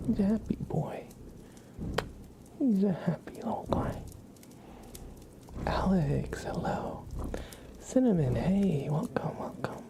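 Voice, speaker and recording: An ASMR livestream host speaks in breathy whispers and soft murmurs, close to the mic. The delivery is gentle and affectionate, with a strong creak in the voice and very varied intonation. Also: mid-range voice, sing-song, slow, long pauses. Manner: gentle, affectionate cooing at a pet. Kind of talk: live ASMR, whispered and soft-spoken greetings and chat. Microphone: close sensitive microphone.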